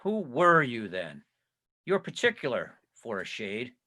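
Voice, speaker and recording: A man's voice: mid-range voice, wildly swooping pitch, unhurried, sharp tone, exaggerated voice. A man voicing a bitter, skeptical old miser in a curt, challenging way, in a dramatic reading of a Victorian ghost story. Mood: curt disbelief.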